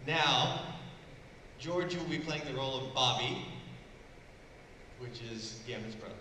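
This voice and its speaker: A man's voice: mid-range voice, unhurried, sharp tone. A man hosting a live stage event, announcing and organizing in a showman-like way as he addresses the audience. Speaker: event host. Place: large indoor convention hall. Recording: echoey hall, distant mic, noisy ambience.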